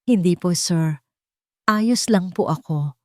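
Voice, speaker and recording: A woman's voice: fairly low pitch, slow pace, mellow, no echo, studio quality. A woman voicing a timid, deferential young housemaid, hesitant and polite, in an audio romance. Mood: timid, nervous.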